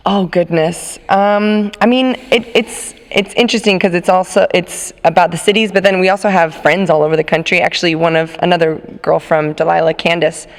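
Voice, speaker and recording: A young woman, an alto singer, with a low smoky voice, chatting in an interview. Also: fairly even intonation, fairly quick, few pauses, big-room sound. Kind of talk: casual interview.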